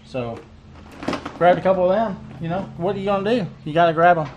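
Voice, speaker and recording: A man in his thirties with a fairly high voice rambles casually about his gear, repeating phrases and leaning on fillers. He talks to a camera set on a desk in a cluttered room, with a faint hiss underneath. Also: a little fast, muffled recording. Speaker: fishing vlogger. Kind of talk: casual unboxing chat. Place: cluttered tackle room indoors.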